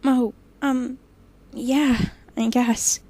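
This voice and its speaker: Anxious, high, husky-voiced young woman, acted by a woman. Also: steady tone, mellow. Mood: subdued. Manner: hesitant, halting. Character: anxious young woman Ophelia, police chief's daughter, pleading. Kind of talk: audio drama dialogue.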